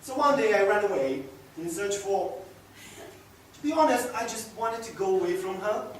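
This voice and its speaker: A man acting a passionate, boastful, unrepentant young womanizer in a theatrical stage monologue, swinging between hushed murmurs and loud declarations. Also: sits high, expressive, normal speed. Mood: boastful, nostalgic. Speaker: actor. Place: echoey indoor room, recorded from a distance. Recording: echoey room, distant mic, faint noise.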